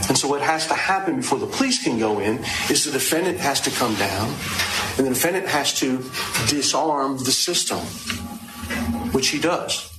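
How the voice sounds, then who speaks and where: A man giving an unscripted courtroom statement as a lawyer, conversational and halting, repeating phrases and posing rhetorical questions. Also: mid-range voice. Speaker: trial lawyer addressing a jury. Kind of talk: extemporaneous courtroom opening statement. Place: indoors, large echoey courtroom. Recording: echoey, noisy courtroom audio.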